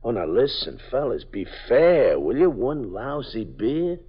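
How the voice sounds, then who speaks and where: An actor playing a nervous, evasive small-time parolee who stammers and pleads, in a 1950s radio drama. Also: male voice, medium pitch, very animated, a little slow, breathy edge. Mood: pleading. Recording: dull lo-fi old radio broadcast.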